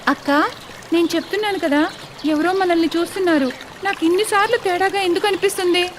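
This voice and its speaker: A woman plays a timid young village daughter-in-law in a cartoon story, pitched high and bright. She pleads earnestly and sounds anxious, yet has a quiet courage underneath. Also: fairly even intonation, fast, big-room sound, a little hiss or hum. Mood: worried.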